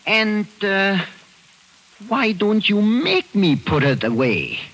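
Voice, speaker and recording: A ruthless German general with a heavy German accent, cold and insistent. His voice is slightly husky and bright, rising and falling with menace. It comes through as an old radio broadcast. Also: high voice, very animated, slow, heavily acted, poor audio. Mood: menacing. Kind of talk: 1940s radio acting.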